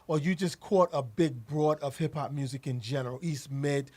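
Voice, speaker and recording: A man's voice: fairly high pitch, fairly even intonation, normal speed, a little mellow. A man interviewing an artist, asking long questions with frequent restarts and repeated words.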